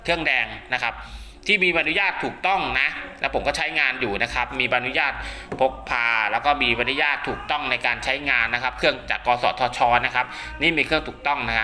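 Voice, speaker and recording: A man's voice: medium pitch, bright, forceful tone. A man giving a casual spoken how-to demonstration, often checking that listeners follow along. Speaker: radio hobbyist presenter.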